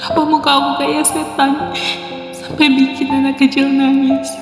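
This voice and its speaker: Heartbroken cartoon schoolgirl: high, anguished, little pitch movement, drenched in reverb. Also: flat delivery, normal speed. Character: heartbroken, self-doubting bullied teenage girl. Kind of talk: dramatic monologue in animated drama. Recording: long echoing reverb, music behind.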